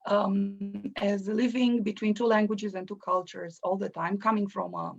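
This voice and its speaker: A woman with a Romanian accent hosting a book launch as an academic, speaking haltingly with many pauses. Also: medium pitch, level tone, vocal fry. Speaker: event host, academic literary historian. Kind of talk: book launch introduction and interviewing. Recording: muffled online livestream audio.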